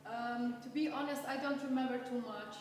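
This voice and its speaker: A woman's voice: high voice, steady tone, moderate pace. A young woman from Bosnia, speaking on a panel as a performer in a stage production, earnest and phrasing things simply. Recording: echoey hall, distant mic, faint noise.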